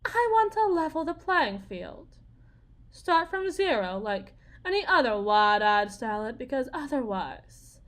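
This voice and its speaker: Bitter Southern wife, high-voiced. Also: female voice, big pitch swings, unhurried, fairly bright tone, exaggerated voice. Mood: pleading, wistful. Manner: confiding, theatrical, folksy, wounded and sardonic. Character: bitter young Southern wife and expectant mother, desperate, dreaming of stardom. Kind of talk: dramatic monologue in an audio roleplay.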